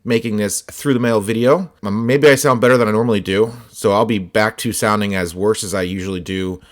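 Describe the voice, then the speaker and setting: A man with a slightly hoarse, scratchy voice, chatting casually as host while showing autographed sports cards. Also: lowish voice, brisk. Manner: relaxed, chatty, many fillers and asides. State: slightly hoarse, voice partly lost. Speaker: host of a card-collecting channel.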